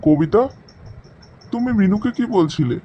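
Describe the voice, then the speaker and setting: A man's voice: sits high, lively intonation, unhurried, mellow. A man voicing a protective, determined young man in cartoon drama dialogue. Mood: caring. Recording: slightly dull sound, faint noise.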